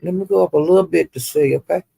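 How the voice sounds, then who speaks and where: A woman's voice: fairly low pitch, quick pace, gravelly, mellow, husky, dry recording, poor audio. An older woman, chatting casually to live viewers as a livestream host in a folksy, rambling, salty-tongued way.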